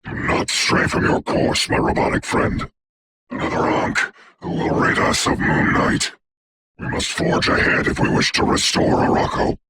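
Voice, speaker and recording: An actor voicing a commanding, haughty dark sorcerer for a video game, speaking in a formal, grandiose, deliberate way with a gravelly, breathy voice. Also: male voice, background noise, natural voice. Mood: solemn, commanding. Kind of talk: theatrical hero game voice lines.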